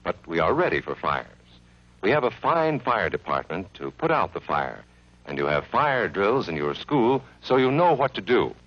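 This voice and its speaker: Guy narrating an old kids safety film, lowish voice, very lively up and down, muffled 50s soundtrack. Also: moderate pace. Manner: earnest, friendly, instructive. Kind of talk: scripted educational film narration for children.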